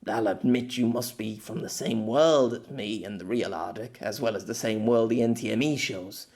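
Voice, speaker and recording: A man's voice: lowish voice, moderate pace, darkish tone, natural voice. A man playing a middle-aged exile in an audio story, bitter, hot-tempered and desperate, stammering and swearing in dramatic outbursts. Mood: calmer, doubtful.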